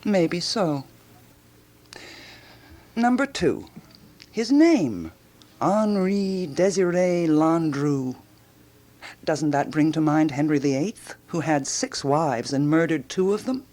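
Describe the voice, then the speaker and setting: A woman acting in a radio drama as a ruminative amateur detective who theorizes aloud with deliberate, rhetorical phrasing and dramatic pauses. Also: low-pitched, expressive, dark tone. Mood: provocative musing, then theatrical pondering.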